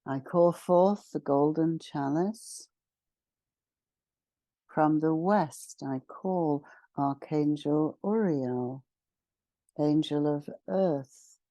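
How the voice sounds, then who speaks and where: A woman guides a spiritual meditation at an extremely unhurried pace, leaving long pauses between short phrases. Her voice is a little low and mellow, with a solemn, ceremonial delivery. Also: no echo. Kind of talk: guided meditation, spiritual invocation and channelled message.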